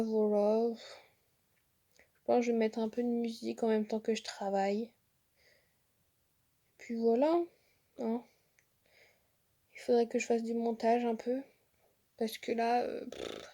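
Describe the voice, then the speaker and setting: A young woman, a student, vlogging from her bedroom on her phone, slightly hoarse from a sore throat, rambling with many fillers and restarts. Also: fairly high pitch, warm tone. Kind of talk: casual vlog chat. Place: bedroom at home. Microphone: phone held at arm's length.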